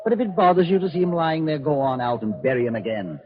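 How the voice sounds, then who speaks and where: An actor playing a scornful, callous Nazi colonel in a 1940s radio drama, barking orders dismissively. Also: male voice, on the high side, expressive, fast, natural voice. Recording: noisy, dull old radio recording.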